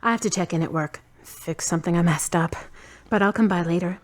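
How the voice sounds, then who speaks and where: A woman's voice: lowish voice, a little fast, natural voice. A woman playing a kind, skeptical, teasing friend in an amateur audio drama, candid and wry. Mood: casual, wry.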